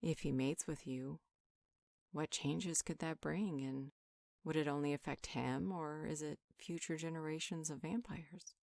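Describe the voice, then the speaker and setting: Woman playing a low-voiced, wise healer. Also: fairly even intonation, a little slow, vocal fry, a little mellow, no echo, clean studio sound. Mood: thoughtful, questioning. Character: calm, wise female healer counseling an upset friend. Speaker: voice actor in roleplay audio. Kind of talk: conversational roleplay monologue, one side of a dialogue.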